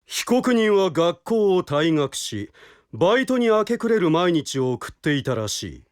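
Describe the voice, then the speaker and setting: An actor playing a stern, haughty prosecutor who speaks in a formal, commanding way in a Japanese audio drama. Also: male voice, sits high, sing-song, unhurried, no echo, studio quality. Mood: accusing.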